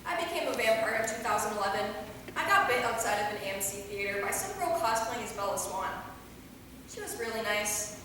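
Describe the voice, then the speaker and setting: A woman playing a brash, excitable young vampire with slangy, sarcastic delivery in a comic staged reading. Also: mid-range voice, a little fast, natural voice. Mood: excitable, silly. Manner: slangy, sassy, exclamatory. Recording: echoey hall from far off, noisy.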